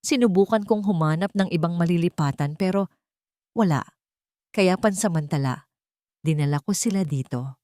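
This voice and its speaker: Woman voicing a weary, halting young male guardian. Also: on the low side, a little mellow, no room sound, studio quality, close to an everyday voice. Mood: subdued, confessing. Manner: halting, subdued confession. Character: weary, overwhelmed young bachelor guardian, earnest man.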